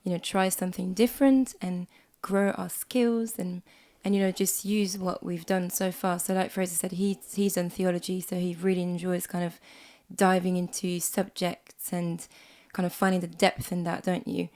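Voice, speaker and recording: A woman in her twenties from Switzerland with a slight Swiss accent, co-hosting a casual podcast chat at home, a little croaky from a cold and quick to laugh. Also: lowish voice, flat delivery. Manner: chatty, warm, laughs often. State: hoarse from a cold. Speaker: podcast co-host. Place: small music room at home.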